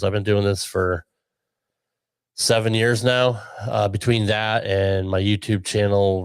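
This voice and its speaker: Deep gravelly-voiced middle-aged man chatting. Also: no echo. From Connecticut, USA. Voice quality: deep radio voice. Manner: relaxed, chatty, many ums and restarts. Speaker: podcast producer and guest. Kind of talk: casual podcast conversation.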